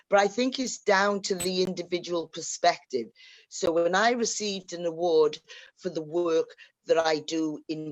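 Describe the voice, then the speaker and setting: A woman's voice: lowish voice, no room sound. A woman from Wales with a Welsh accent, joining an online discussion over a video call and stating her opinion directly. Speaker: caller. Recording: dry, dull video-call audio.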